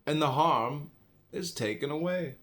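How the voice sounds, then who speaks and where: A man from the United States reading a philosophical text aloud in a measured, deliberate way, phrase by phrase with pauses. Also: fairly high pitch, a bit bright. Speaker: audiobook reader.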